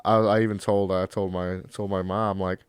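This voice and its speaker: A man's voice: sits low, little pitch movement, moderate pace, smooth, dry recording, clean studio sound. A young man co-hosting a podcast, stuffed up from a cold, talking loosely and swearing a lot while rambling. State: congested from a cold. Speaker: podcast co-host. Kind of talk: casual chat.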